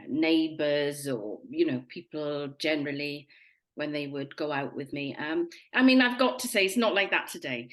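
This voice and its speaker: A woman in her fifties or sixties with a Welsh accent, telling her own life story reflectively, with pauses. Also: fairly low pitch, poor audio. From Wales. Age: 50s or 60s. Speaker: podcast guest. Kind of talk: personal life story in interview.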